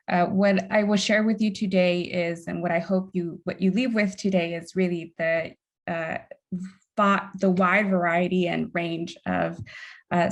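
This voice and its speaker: A young woman whose first language is Spanish, speaking earnestly as a panelist at a community event. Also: lowish voice. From United States. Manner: earnest, with frequent "um" and "uh". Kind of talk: panel talk.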